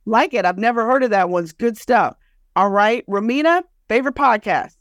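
A woman's voice: fairly high pitch, expressive, moderate pace, dry recording, studio quality. A woman hosting a podcast, moderating a panel in a conversational way. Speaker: podcast host.

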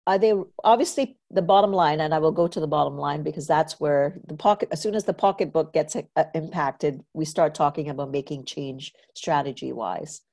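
A woman from Trinidad and Tobago hosts a conversational interview with a low, grounded voice. She talks in a loose, chatty way, drifting into personal stories and leaning on "you know" fillers. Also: normal speed, creaky, hardly any pauses, a little mellow. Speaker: podcast host.

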